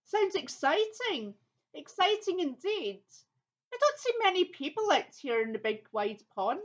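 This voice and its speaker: A woman's voice: extremely high pitch, sing-song, a little slow, muffled recording, voice pushed up, heavily acted. A woman voicing a chirpy squawking sea bird that repeats its phrases in a children's audio play. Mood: chirpy, quizzical.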